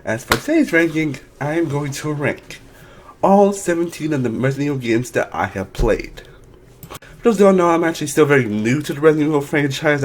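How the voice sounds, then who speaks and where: A man's voice: mid-range voice, a little hiss or hum. Rambling young man reviewing games. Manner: rambling, with frequent restarts and fillers. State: voice a bit off from allergies. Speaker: video game reviewer, channel host. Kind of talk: casual ranking video talk.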